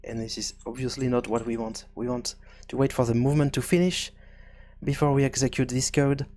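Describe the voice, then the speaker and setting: A man hosting a casual screencast programming tutorial, thinking aloud in a halting way and often repeating words as he goes. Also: medium pitch, steady tone, warm tone. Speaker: tutorial host and game developer.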